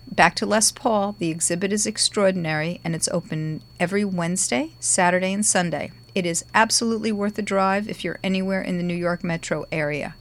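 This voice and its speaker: A middle-aged woman talks into a studio microphone as host of her guitar podcast. Her voice is gravelly and sits a little low for a woman. She speaks in a chatty way, often pausing on ums. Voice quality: gravelly today. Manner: chatty, warm-hearted, with frequent ums. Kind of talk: casual podcast talk.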